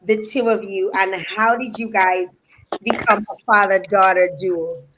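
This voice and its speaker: A woman's voice: mid-range voice, fairly even intonation, measured pace, background noise. A woman hosting a live interview, chatty and asking playful questions. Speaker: host.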